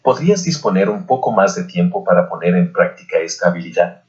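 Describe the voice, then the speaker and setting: A man voicing a gracious, indulgent Aztec king in a gentle, courtly, measured manner, as in an audiobook. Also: sits low, animated, dull audio. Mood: kindly.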